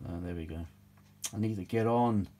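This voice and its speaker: A British man with a fairly low, very mellow and relaxed voice talks off the cuff in a casual, rambling way. He sounds world-weary and grumbles as he goes, with the odd mild swear word. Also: normal speed, a little hiss or hum. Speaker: vintage audio repair hobbyist presenting his own videos. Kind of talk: casual rambling workbench chat.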